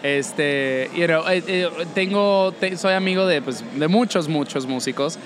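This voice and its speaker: Chatty interviewer with crowd behind. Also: male voice, fairly high pitch, unhurried. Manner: chatty, mixes in English words. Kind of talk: casual interview conversation.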